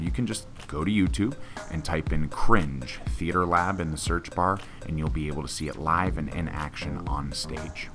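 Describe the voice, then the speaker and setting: A male podcast host talks informally, as if chatting to regular listeners. His voice is extremely deep, and music plays clearly behind him. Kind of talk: informal spoken introduction.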